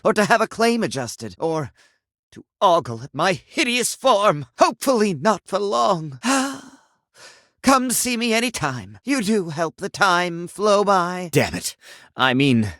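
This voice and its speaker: Goofy confused sink character for a video game, flowery and dramatic, pitch all over the place, dry close sound. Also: male voice, fairly bright tone, dry recording, full character voice. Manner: theatrical, flowery, swinging between formal and exclamatory. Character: confused eccentric male sink who believes himself human, theatrical and sentimental.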